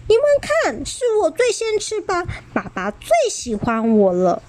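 A woman's voice: high voice, wildly swooping pitch, unhurried, a little mellow, pitched above the performer's own voice, heavily acted. A woman voicing a boastful, spoiled baby ankylosaur in a children's story, talking in a smug, childish way.

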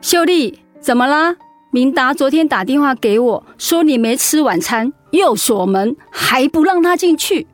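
An actress playing a frank, caring confidante in a radio drama, with a chiding, persuasive manner. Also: female voice, high-pitched, expressive, measured pace, soft background music. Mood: probing.

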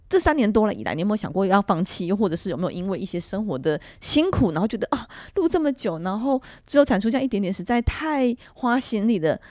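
A middle-aged woman hosts an interview at a brisk pace. Her pitch moves around a lot as she builds long conversational questions, and the recording is close and dry. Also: a little mellow, no echo. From Taiwan. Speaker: podcast host, interviewer.

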